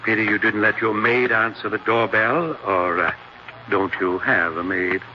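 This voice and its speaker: An actor playing an evasive, oily small-town mortician with an American accent, measured and unctuous, in a 1940s radio drama. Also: male voice, fairly low pitch, close to an everyday voice. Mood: sly, insinuating. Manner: measured, unctuous, hesitant when pressed. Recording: dull, hissy 1940s radio recording.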